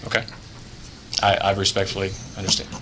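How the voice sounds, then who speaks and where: A man's voice: low voice, little pitch movement, a little fast, background noise. A man from Houston giving formal, polite testimony before a committee and defending his point under questioning. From Houston, Texas. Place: legislative hearing room.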